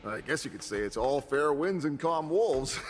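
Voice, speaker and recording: An actor voicing an awkward, sheepish, good-natured security guard in a video game, with a hesitant manner. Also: male voice, fairly high pitch, animated, normal speed, faint music behind, natural voice. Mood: hesitant, affable.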